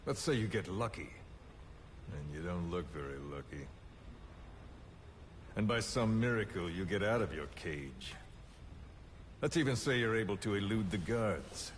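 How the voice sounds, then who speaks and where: A man playing a calm, sadistic military interrogator in a video game, speaking deliberately and tauntingly. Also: deep voice, slight background noise. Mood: cold taunting.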